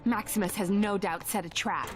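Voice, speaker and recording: A woman playing a blunt, wary royal superhero in a cartoon video game, forthright, with loud music behind. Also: mid-range voice, normal speed, very noisy, natural voice. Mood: businesslike.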